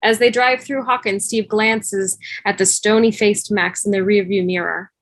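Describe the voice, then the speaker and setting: A woman narrates scene headings and action from a script. Her voice sits at a medium pitch and is recorded dry, with no room sound. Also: steady tone, moderate pace, no echo. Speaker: narrator reading stage directions.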